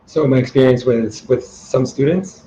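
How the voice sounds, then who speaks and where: A man working as an online English tutor, talking in a relaxed, friendly, chatty way with plenty of "um" and "you know" over a video call. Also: mid-range voice, moderate pace, highs cut off. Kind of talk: casual conversation.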